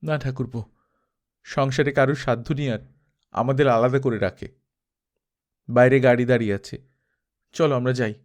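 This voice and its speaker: A man's voice: mid-range voice, steady tone, moderate pace, pauses often, natural voice. A man voicing a passionate, possessive married woman, intense and coaxing, in a dramatised audiobook reading. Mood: urgent, commanding.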